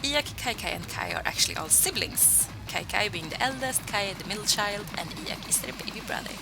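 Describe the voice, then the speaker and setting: A young woman talks casually in a vlog, chatty and self-deprecating. Her mid-pitched voice has a gravelly fry to it. Music plays clearly behind her. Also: animated, normal speed, low-quality recording. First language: Swedish. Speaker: voicebank creator answering fan questions.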